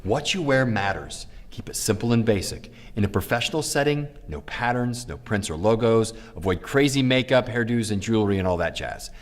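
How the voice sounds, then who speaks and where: A man's voice: lowish voice, lively intonation, fairly quick, few pauses. A man presenting acting tips in scripted narration with casual asides.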